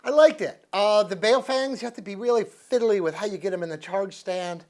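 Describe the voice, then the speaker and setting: A man's voice: high voice, a little fast, a little mellow. An American man in his sixties chatting casually and unscripted as he reviews a product, thinking aloud in a folksy, easygoing manner. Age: 60s. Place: living room with couch, indoors.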